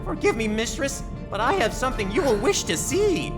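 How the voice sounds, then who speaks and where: A woman's voice: a little high, big pitch swings, fairly quick, over music. A woman voicing a frantic, fawning minion who serves a space villainess in an audio drama. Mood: servile, urgent. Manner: fawning, deferential.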